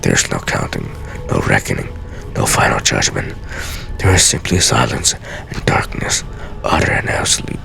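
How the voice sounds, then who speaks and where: Aging video game master assassin, acted by a man: rock-bottom pitch, heavy gravelly fry, solemn, weary, measured journal delivery. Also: big pitch swings, sharp tone, full character voice. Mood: weary, brooding. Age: older. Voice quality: very deep, gravelly. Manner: grave, measured, theatrical dramatic reading.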